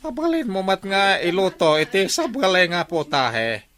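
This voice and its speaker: Jolly greedy village man acted by a man, Ilocano accent, wildly swinging pitch, bright forceful delivery, radio comedy clowning. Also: very animated, normal speed. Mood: eager, greedy. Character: jolly gluttonous tipsy village man, loud clowning friend.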